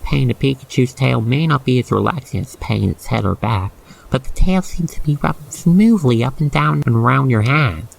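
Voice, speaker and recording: A man with a creaky voice reading a scripted second-person ASMR roleplay story, with gentle exclamations. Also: mid-range voice, expressive, normal speed, poor audio. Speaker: roleplay narrator.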